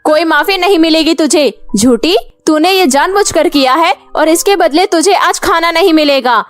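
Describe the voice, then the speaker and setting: A woman's voice: very high voice, normal speed. A woman voicing a cruel, scolding aunt in a cartoon, harsh and insulting. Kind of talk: cartoon voice acting. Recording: faint music behind.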